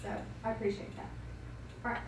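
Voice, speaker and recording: A woman coach giving a rambling, chatty thank-you speech at a banquet, her voice going hoarse. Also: brisk. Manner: rambling, self-correcting, chatty. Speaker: cheer coach. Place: school banquet room, indoors. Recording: echoey hall from far off, noisy.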